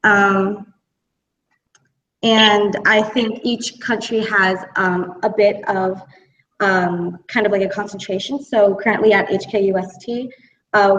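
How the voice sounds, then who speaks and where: A woman's voice: mid-range voice, fairly bright tone, a little hiss or hum. A young woman from Connecticut, a student on a panel, talking casually with many fillers. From Connecticut, USA. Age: young adult. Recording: roomy, distant, dull mic sound.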